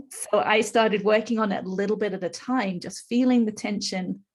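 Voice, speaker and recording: A woman in her fifties with a slightly husky, breathy voice speaks as a podcast guest. She tells her story in long, earnest, flowing runs and explains things patiently, at a middle pitch. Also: steady tone, moderate pace. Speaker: podcast guest, spiritual teacher. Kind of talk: conversational storytelling interview.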